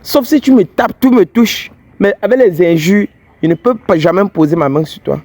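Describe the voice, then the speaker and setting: A man with an English-speaking Cameroonian accent hosts a street confrontation vlog. His voice sits high for a man, and his manner is blunt and teasing. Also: expressive, normal speed, a little mellow, no room sound. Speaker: fidelity tester vlogger host.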